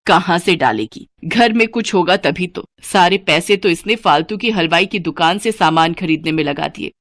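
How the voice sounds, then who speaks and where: A woman's voice: fairly low pitch, lively intonation, normal speed, fairly bright tone, no echo. A woman voicing a frugal, reproachful elderly mother-in-law in a cartoon moral story, with a weary, scolding manner. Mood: complaining.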